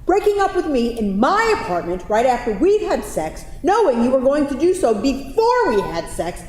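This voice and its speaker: A woman in a staged play reading, playing a sharp-tongued, sarcastic and exasperated young girlfriend in an echoey hall. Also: sits high, big pitch swings, normal speed. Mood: furious. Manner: sarcastic, profane, incredulous. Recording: echoey theatre hall, some background noise.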